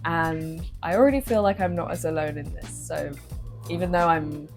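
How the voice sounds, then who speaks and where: A young woman speaks straight into her phone in a low-energy, slightly low-pitched voice. She sounds earnest and confiding, letting her emotion show. Faint music sits behind her. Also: normal speed, a little mellow. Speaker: vlogger. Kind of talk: personal vlog talking to camera.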